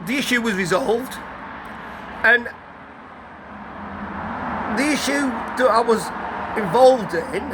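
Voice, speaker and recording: A man, croaky from a cold, telling a rambling, guarded story to his vlog audience, full of restarts. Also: very high-pitched. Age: 50s. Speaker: vlogger. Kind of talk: casual story to camera. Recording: outdoor traffic noise behind.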